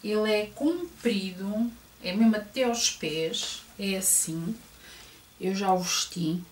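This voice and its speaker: A woman's voice: mid-range voice, takes it slowly. A middle-aged woman with a European Portuguese accent, chatting to viewers as she shows off clothes she bought, with lots of fillers and hesitations. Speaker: fashion vlogger. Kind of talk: casual clothing haul show-and-tell. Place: bedroom at home, indoors. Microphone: camera across the room. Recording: roomy distant camera audio with faint hiss.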